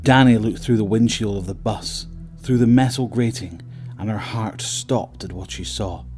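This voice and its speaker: Male narrator, lowish pitch, dramatic and suspenseful scripted horror storytelling, measured phrasing, music bed. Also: a little mellow. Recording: voice over prominent music.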